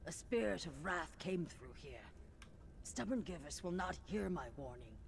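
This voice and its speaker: An actor playing a calm, fatalistic lizard-folk mystic in a fantasy video game, speaking in measured, cryptic proverbs. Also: male voice, a little high, vocal fry, slight background noise. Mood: grave, calm.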